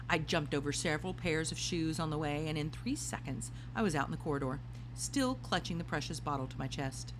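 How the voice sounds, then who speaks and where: A woman reading a children's storybook aloud in an expressive narrating style. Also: deep voice, background noise. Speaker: storybook reader.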